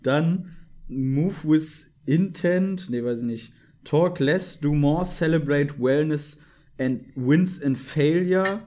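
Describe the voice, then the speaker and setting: A man's voice: fairly high pitch, slow, warm tone. A man in a casual conversation, joking around and adding wry asides to what he reads out. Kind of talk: casual chat.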